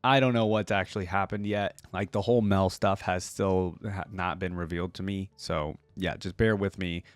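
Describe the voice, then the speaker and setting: A man's voice: low-pitched, steady tone, moderate pace, dry recording, faint music behind. A man giving casual reaction commentary, reading text aloud and adding conversational asides. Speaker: reaction video host.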